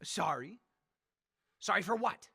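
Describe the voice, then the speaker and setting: A man playing a megalomaniac leader bent on mass death in a radio horror drama, theatrical and gloating, moving between hushed menace and shrieks. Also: high-pitched, lively intonation, takes it slowly, gravelly, slightly rough, no echo, studio quality, exaggerated voice. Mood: smug, taunting. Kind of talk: old-time radio horror drama acting.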